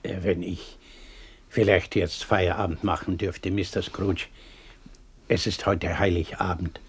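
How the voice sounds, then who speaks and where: An actor playing a timid, humble clerk in a German 1960s radio play, hesitant, polite and submissive, with a husky voice. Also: male voice, deep voice, little pitch movement, unhurried, full character voice. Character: timid, humble male clerk, meek and deferential.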